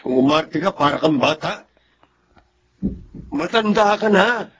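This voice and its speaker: An actor with a Filipino accent playing a stern, fed-up middle-aged father in a radio drama, speaking in a measured parental way. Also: on the high side, animated, fairly bright tone, breathy edge, full character voice. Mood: exasperated.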